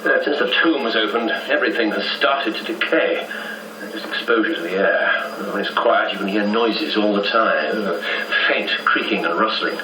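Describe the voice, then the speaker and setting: An actor playing an irascible, arrogant English archaeologist in a radio drama, brusque and exasperated. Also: male voice, lowish voice, moderate pace, far from the mic, close to an everyday voice. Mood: tense, absorbed. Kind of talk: 1990s radio drama acting. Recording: noisy roomy radio copy, off-mic.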